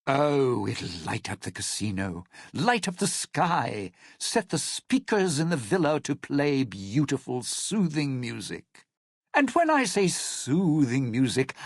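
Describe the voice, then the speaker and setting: A suave, scheming lounge-singer conman for a video game. His voice is raspy and gravelly, swooping widely in pitch as he delivers sardonic showbiz patter. He takes his time over every slangy, cynical line. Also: dry recording, studio quality, full character voice. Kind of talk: video game character voice lines.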